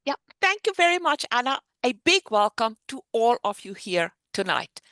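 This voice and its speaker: A middle-aged woman with a South African accent, teaching the basics of investing in an animated, explanatory way on a webinar. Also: a little high, normal speed, no room sound, clean studio sound. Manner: animated, explanatory teaching with a lively sing-song rise and fall. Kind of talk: webinar presentation.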